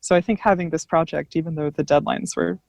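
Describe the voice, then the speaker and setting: A woman's voice: sits low, fairly even intonation, fast talker, vocal fry, a little mellow, no echo. A woman speaking casually and articulately as a panelist in an informal discussion.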